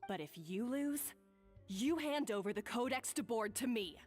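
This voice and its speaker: A woman voicing a proud, combative young head chef in video game dialogue, sharp, taunting and defiant. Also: on the high side, lively intonation, normal speed, long reverb tail, soft background music.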